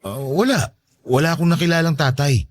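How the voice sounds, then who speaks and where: A man playing a gentle, effeminate, apologetic boyfriend in a radio drama, mild and conciliatory. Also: on the high side, brisk, natural voice. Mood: earnest, friendly. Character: gentle, soft-mannered, apologetic boyfriend, effeminate, eager to please. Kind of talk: Tagalog radio drama acting.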